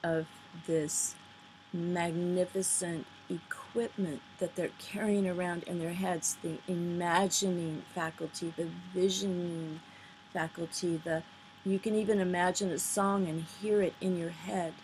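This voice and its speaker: A woman giving an informal, earnest spoken commentary, pausing between phrases and sometimes reading aloud, on a noisy amateur recording. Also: lowish voice, fairly even intonation, a little mellow. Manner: earnest, halting delivery with pauses between phrases. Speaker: vlogger. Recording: noisy amateur recording, poor sound quality.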